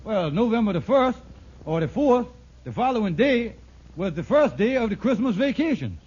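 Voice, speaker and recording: An actor playing a fast-talking, scheming, slippery con artist in an old radio sitcom, in folksy ungrammatical speech full of stalling. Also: male voice, high-pitched, very animated. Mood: smooth bluffing. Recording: noisy, muffled vintage radio recording.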